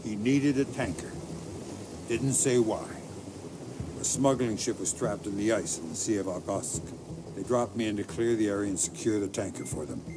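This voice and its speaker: A man playing a hardened middle-aged undercover spy in a video game, terse, grim and deadpan. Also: on the low side, moderate pace, noisy background, close to an everyday voice. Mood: guarded, terse. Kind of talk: dramatic video game acting. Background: loud music.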